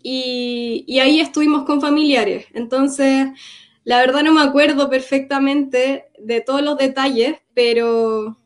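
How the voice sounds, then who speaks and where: A young woman from Gaza giving an earnest, reflective personal testimony in an interview, often pausing to recall. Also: sits high, steady tone. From Gaza, Palestine.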